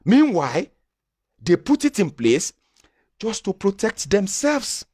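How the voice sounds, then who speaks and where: A man's voice: sits high, huge pitch swings, slow, darkish tone, husky, dry recording, studio quality. A Nigerian man with a Yoruba accent giving passionate opinion commentary, repeating and restarting phrases as he argues.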